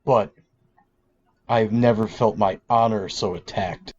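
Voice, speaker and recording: A man's voice: fairly low pitch, fairly even intonation, takes it slowly, a little mellow. A man playing a proud, brooding nobleman mage in a tabletop game, formal and indignant, on slightly dull audio. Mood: wounded pride.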